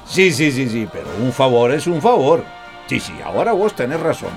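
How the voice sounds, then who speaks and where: A man's voice: mid-range voice, sing-song, normal speed, faint music behind. An actor playing a smug, crafty middle-aged businessman father in a radio drama, with a Costa Rican accent and a needling, folksy manner. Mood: smug, amused. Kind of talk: radio drama acting, one side of a phone call.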